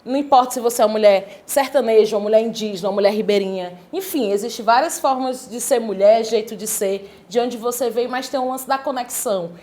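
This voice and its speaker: Reflective Cearense woman, higher voice. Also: fast talker, hardly any pauses, warm tone. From Sobral, Ceará, Brazil. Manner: reflective, many tag questions. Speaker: guest, cultural worker. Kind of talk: conversational interview answers.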